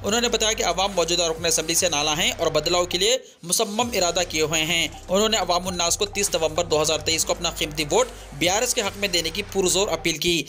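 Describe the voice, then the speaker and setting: A man's voice: high-pitched, fast, few pauses, bright, forceful tone, faint music behind. A man reads a scripted news bulletin in a formal, declarative way. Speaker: news reader.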